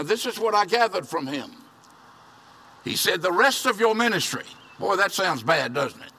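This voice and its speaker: An older man preaches from an outdoor podium with a bright, high-set voice. He is earnest and hammers home his key phrases. Vehicles hum faintly behind him. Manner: earnest, repeating phrases for emphasis. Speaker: pastor. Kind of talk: sermon. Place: outdoor church parking lot. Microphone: podium mic from a distance.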